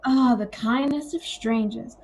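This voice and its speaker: A woman's voice: a little high, unhurried, a little mellow, slight background noise, rough recording. A woman playing a defensive, flighty, exasperated sister in an audio play, dramatic and full of sighs. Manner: dramatic, sighing, often opens with "oh".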